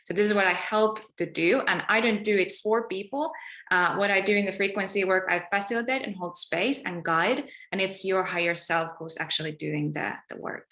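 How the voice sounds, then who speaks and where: A woman from Finland, a guest on a podcast, speaking calmly and steadily with many fillers about spiritual healing. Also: mid-range voice, normal speed, echoey room. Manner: calm, flowing, spiritual talk with many fillers. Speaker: guest, energy healer. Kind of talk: podcast interview.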